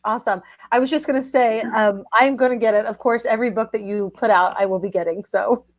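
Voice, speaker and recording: A woman's voice: fairly high pitch, fairly even intonation, fairly quick, no room sound. A woman hosting a casual book chat over a video call, effusive and talking with many fillers.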